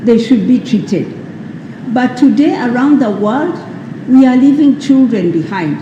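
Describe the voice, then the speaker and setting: A woman's voice: fairly high pitch, animated, dark tone, muffled recording. Woman speaker at a public ceremony, emphatic and rhetorical, noisy echoing PA. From Uganda. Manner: slow, emphatic, rhetorical questions. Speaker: speaker at a World AIDS Day event. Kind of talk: formal public speech. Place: large hall at a public ceremony.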